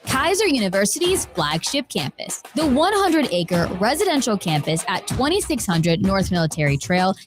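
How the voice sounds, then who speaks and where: Female commercial announcer, very varied intonation, mid pitch, scripted read over music. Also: big pitch swings, moderate pace, hardly any pauses. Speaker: advert announcer. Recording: music bed under voice.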